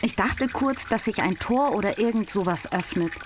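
A woman's voice: medium pitch, lively intonation, normal speed, noisy background, lightly acted. A woman playing a curious, supportive teenage girl adventurer in an audio drama.

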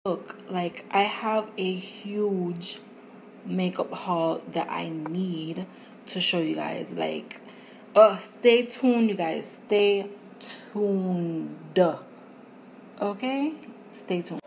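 A chatty young woman talks her viewers through a recipe in a home kitchen. Her voice sits a little low, with some faint noise in the background from the phone recording. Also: very slow, creaky, darkish tone. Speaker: vlogger. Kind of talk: casual cooking vlog. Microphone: phone camera.

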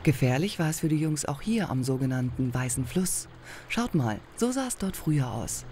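A man reading scripted documentary narration in a calm, explanatory way. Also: on the high side, normal speed, background noise.

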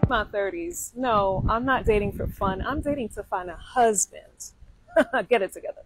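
A woman's voice: medium pitch, normal speed, over loud music, rough recording. A woman in her thirties in a social media clip, talking in a sassy, playful way. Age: 30s.

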